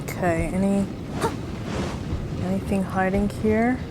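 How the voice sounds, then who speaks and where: A woman's voice: mid-range voice, fairly even intonation, slow pace, very noisy. A woman giving casual, offhand gameplay commentary as she plays. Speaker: gamer playing through a game. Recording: game music and effects behind the voice.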